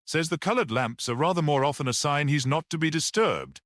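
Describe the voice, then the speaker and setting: A man voicing a brisk, suspicious police detective who questions sharply and gives orders in a story. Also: a little high, lively intonation, no room sound, studio quality, voice pushed up. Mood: matter-of-fact. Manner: sharp, interrogating.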